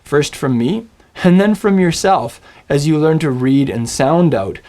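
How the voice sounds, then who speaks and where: A man teaching a Bible language lesson, speaking in a scripted, storytelling way with slow, deliberate phrasing and dramatic pauses. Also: on the high side, lively intonation, darkish tone. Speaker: Biblical Hebrew teacher.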